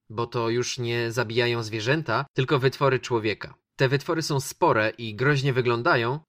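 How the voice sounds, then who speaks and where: A man's voice: medium pitch, fairly bright tone. A man hosting a radio programme, delivering a scripted film review. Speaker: radio show host presenting films.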